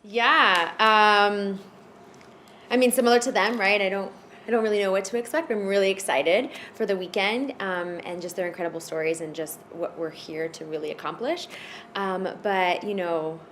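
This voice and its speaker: A woman's voice: mid-range voice, steady tone, moderate pace, fairly bright tone, a little hiss or hum. A woman from Florida, a podcast guest, telling her personal story in a casual, hesitant way with lots of fillers and restarts. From United States (Florida).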